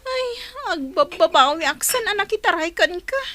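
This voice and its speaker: A woman's voice: very high voice, sing-song, normal speed, sharp tone, exaggerated voice. A woman playing a young pregnant wife, weary, plaintive and reproachful, in an Ilocano audio drama.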